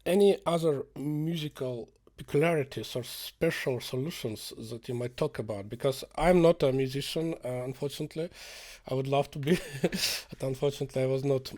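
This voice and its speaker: A man's voice: mid-range voice, moderate pace, a little mellow. A man interviewing musicians, asking his questions hesitantly and joking that he is not a musician himself. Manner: hesitant, self-deprecating, laughs lightly.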